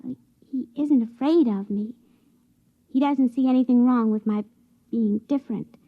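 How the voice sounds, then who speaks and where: A woman's voice: fairly high pitch, moderate pace. A woman acting as a shy, starry-eyed young assistant in a 1950s radio drama, hesitant and tender. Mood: hopeful, shy. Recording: thin phone-like vintage radio recording.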